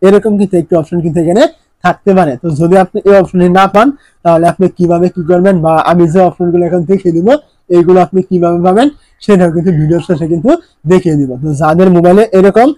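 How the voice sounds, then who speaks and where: High-pitched, quick-talking man giving instructions. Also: fairly even intonation, dark tone, no echo, low-quality recording. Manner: repetitive, instructional, poses questions then answers them. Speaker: tech tutorial presenter. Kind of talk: step-by-step phone tutorial narration.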